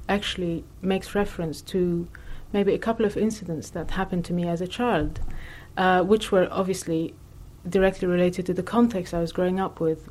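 Reflective low-voiced woman artist. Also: darkish tone. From Lebanon. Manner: reflective, thinking aloud with many fillers. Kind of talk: interview answers.

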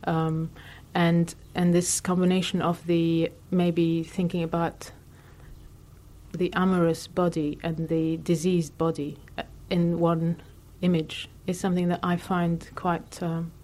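A woman from Lebanon, an artist answering interview questions in a reflective way, thinking aloud with many pauses and fillers. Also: lowish voice, little pitch movement, mellow.

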